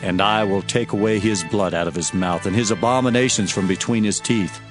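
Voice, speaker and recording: A man's voice: lowish voice, a little fast. Solemn man proclaiming scripture over music. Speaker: dramatized scripture narrator. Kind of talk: dramatized King James Bible reading, declamatory. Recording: music behind the voice.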